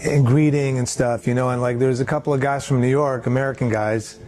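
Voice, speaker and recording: A man talking casually and animatedly as a company head, with lots of "like" and "right?" and quick impressions of other people. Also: medium pitch, faint music behind. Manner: animated, swearing, acts out quoted voices; repeats "right?" and "like". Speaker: company chief executive. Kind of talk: casual conversation.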